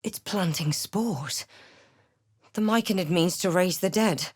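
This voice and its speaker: A deliberate, slow-spoken heroine adventurer. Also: female voice, fairly low pitch, slightly rough, dry recording, clean studio sound. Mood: hushed, wary. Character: female adventurer hero, snarky, brooding, spell-casting. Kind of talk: fantasy video game voice lines, narration and barks.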